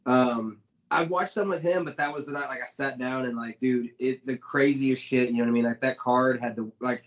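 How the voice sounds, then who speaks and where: Excitable 30s dude cursing about wrestling, muffled sound. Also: male voice, medium pitch, moderate pace. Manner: excitable, swears freely. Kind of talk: casual wrestling chat.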